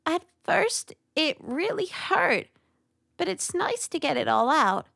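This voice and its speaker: Woman as a high, fragile, timid cartoon creature. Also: big pitch swings, slow pace, gravelly, fairly bright tone, no room sound, clean studio sound, full character voice. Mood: shy, sweet. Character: timid, insecure, sweet female cartoon theatre-mask creature. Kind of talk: cartoon comic dub. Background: music.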